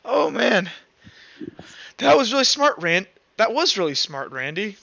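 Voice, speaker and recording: A man voicing an excitable, frantic fool in a tabletop game, exclaiming eagerly. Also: high voice, measured pace, creaky, no echo, dull audio, voice pushed up, heavily acted. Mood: relieved, impressed.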